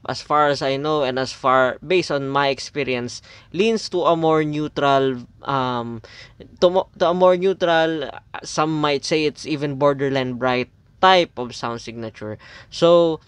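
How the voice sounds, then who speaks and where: A man's voice: mid-range voice, a bit bright, smooth, no echo. Hesitant Filipino man reviewing earbuds. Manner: hesitant, many fillers and restarts. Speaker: audio reviewer. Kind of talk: casual product review.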